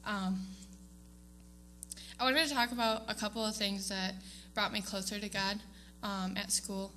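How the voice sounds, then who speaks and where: A woman's voice: medium pitch, fairly even intonation, unhurried, pushed and bright, a little hiss or hum. A young woman giving a casual, hesitant speech to her church congregation, with frequent ums and small laughs. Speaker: student giving award speech. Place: church sanctuary.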